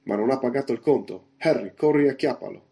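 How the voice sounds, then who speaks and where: A man voicing a bluff one-legged pirate innkeeper in a storybook, loud and emphatic, firing off orders and questions. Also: mid-range voice, moderate pace, close to an everyday voice. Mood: angry, stern. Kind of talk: audiobook character voice.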